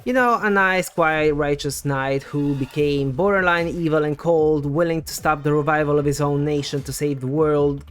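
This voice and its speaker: A man's voice: fairly high pitch, fairly even intonation, normal speed, hardly any pauses. A man presenting scripted game theory commentary in a conversational, explanatory way, as the host of a video essay. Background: background music.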